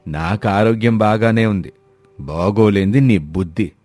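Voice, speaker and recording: A man's voice: lowish voice, measured pace, darkish tone, no echo, faint music behind. A man playing an older village landlord, blunt and indignant, scolding emphatically in a dramatised moral story.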